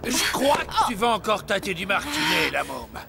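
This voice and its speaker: A high-set, gravelly male bully with a French accent. He is a brutish cartoon father, slangy and menacing, with loud music behind him. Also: big pitch swings, moderate pace, exaggerated voice. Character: menacing, brutish fat father who threatens whippings.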